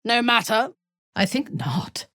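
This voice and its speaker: An actress voicing a ruthless, haughty female warrior commander in a video game, with a husky, breathy voice and an imperious, menacing delivery. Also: on the high side, very animated, slow, a bit bright, dry recording, studio quality, heavily acted. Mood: imperious, scornful. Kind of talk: dramatic video game voice acting.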